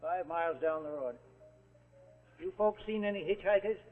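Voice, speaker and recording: A man's voice: high-pitched, normal speed, natural voice. An actor playing a suspicious, laconic, folksy small-town lawman in a 1940s suspense television drama. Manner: terse, folksy. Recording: muffled vintage TV soundtrack, loud music.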